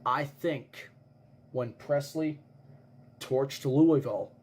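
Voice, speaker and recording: A man playing an earnest eyewitness who tells a grave disaster theory in an insistent, suspenseful way with dramatic pauses. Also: medium pitch, darkish tone, slightly rough. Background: music behind the voice.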